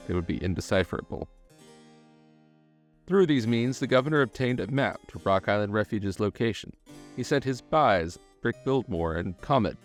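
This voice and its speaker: A man reading scripted documentary-style narration in a measured, articulate storytelling manner. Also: fairly low pitch. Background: background music.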